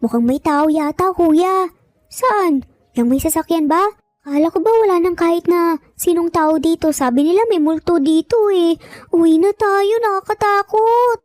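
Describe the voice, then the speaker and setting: A woman's voice: very high voice, moderate pace, a little mellow, no echo, faint music behind. A woman voicing an eager, earnest young boy in a dramatized story. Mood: worried, urging. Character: young triplet boys, eager and earnest little brothers.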